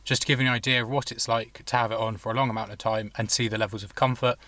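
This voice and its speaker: A man presents gear in an informal vlog style, explaining each step as he goes. His voice sits at an ordinary middle pitch. There is clear ambient noise behind him. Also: flat delivery, very fast, sharp tone. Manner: informal, explanatory, thinking aloud. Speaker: vlogger reviewing and installing car gear. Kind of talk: casual product review and hands-on demonstration.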